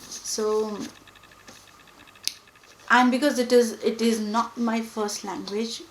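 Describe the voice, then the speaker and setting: Slow, thoughtful woman, Hindi native. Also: fairly high pitch, steady tone, a little hiss or hum. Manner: thoughtful, pausing often with fillers. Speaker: book vlogger. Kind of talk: reflective personal talk to camera. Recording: echoey room with faint ambience.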